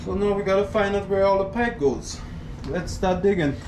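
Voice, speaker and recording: A man's voice: sits high, moderate pace, background noise. A contractor talking plainly through a basement renovation as he works, recorded on a camera mic. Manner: plain, matter-of-fact planning talk. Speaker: contractor host. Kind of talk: casual work narration.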